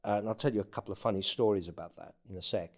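A man speaking as an interview guest in casual anecdotal talk, often halting and repeating words as he goes. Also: lowish voice. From born in North Africa, has lived in England and the United States. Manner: halting, stammered repetitions of words. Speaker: interview guest, former presidential candidate.

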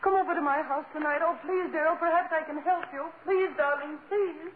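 Actress as a high-pitched pleading sweetheart, old radio. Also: female voice, fairly even intonation, a little fast, lightly acted. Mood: pleading, worried. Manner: pleading, earnest, melodramatic. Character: gentle, anxious sweetheart pleading tenderly. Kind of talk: 1940s radio drama acting. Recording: tinny, noisy old-time radio broadcast.

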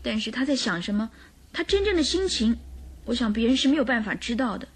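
A woman's voice: fairly high pitch, sing-song, fairly quick, breathy edge. A woman playing an earnest, distressed young governess under suspicion in a radio drama. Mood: anxious recounting.

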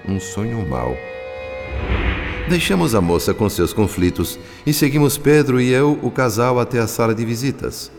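An actor playing a solemn, devout narrator, telling the story theatrically in a radio drama. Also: male voice, low voice. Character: solemn, devout male spirit narrator, measured and moralizing. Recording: long cavernous reverb.

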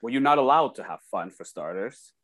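A man from Kazakhstan with a mid-pitched voice talks casually as a guest in an interview. He tells anecdotes in an informal, conversational way, often slipping into quoted dialogue to act out what people said. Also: brisk. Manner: animated retelling with acted-out dialogue. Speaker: interview guest, former hockey player.

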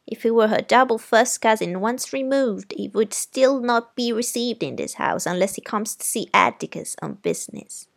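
A woman's voice: on the high side, moderate pace, gravelly, hardly any pauses, voice pushed up. A woman reading aloud voices a stern, proper older aunt who speaks in a haughty, raised voice.

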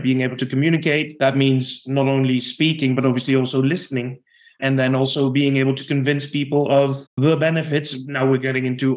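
A man from the Netherlands with a Dutch accent, a podcast guest giving long, reflective answers about his sales career. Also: medium pitch. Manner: reflective, talks in long explanatory runs. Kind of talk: podcast interview.

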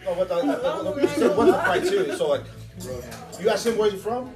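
Animated man rambling over music. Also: high-pitched, brisk. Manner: rambling, repeats and rephrases his questions. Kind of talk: casual group chat with storytelling. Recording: music behind the voice.

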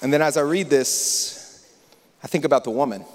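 A young adult man from the United States preaching a sermon, moving between loud, lively passages and hushed, confiding ones. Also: a little high, moderate pace, a little hiss or hum. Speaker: pastor. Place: large church hall. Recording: church hall reverb.

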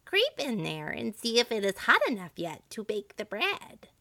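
Woman playing old storybook witch, malicious, creaky, scolding, drawn-out menace, dry recording. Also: medium pitch, wildly swooping pitch, bright, forceful tone, no echo, exaggerated voice. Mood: crafty. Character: wicked old witch, malicious, sneering, bullying.

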